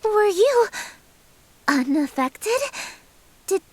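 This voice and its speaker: Haughty, very high-voiced chaos goddess. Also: female voice, sing-song, drawn-out words, no room sound, full character voice. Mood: indignant, flustered. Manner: grandiose, imperious, melodramatic. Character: haughty theatrical eldritch goddess of chaos, female, easily flustered. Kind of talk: scripted visual novel game acting. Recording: music behind the voice.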